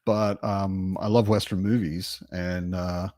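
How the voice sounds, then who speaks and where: Low-voiced man, thoughtful book chat. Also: little pitch movement, takes it slowly, darkish tone, no echo, clean studio sound. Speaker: book discussion participant. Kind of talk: casual book discussion.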